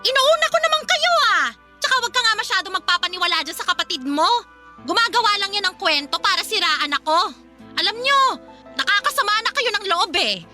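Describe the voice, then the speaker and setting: Shrill, nagging Filipino mother. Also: animated, a little fast, bright, forceful tone, faint music behind, exaggerated voice. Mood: indignant, wounded. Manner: nagging, indignant, dramatic. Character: defensive middle-aged mother, flighty, resentful, quick to nag. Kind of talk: Filipino radio drama acting.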